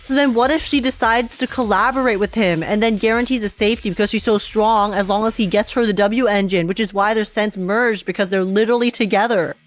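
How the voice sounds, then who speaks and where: A woman streamer giving casual, slangy and excitable live reactions to a video game. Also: medium pitch, brisk, few pauses, soft background music.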